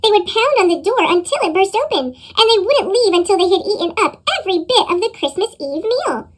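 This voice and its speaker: Female children's narrator, chipmunk-processed, squeaky high, very animated read-aloud delivery. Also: fairly quick. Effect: sped-up chipmunk pitch effect. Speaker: storybook reader.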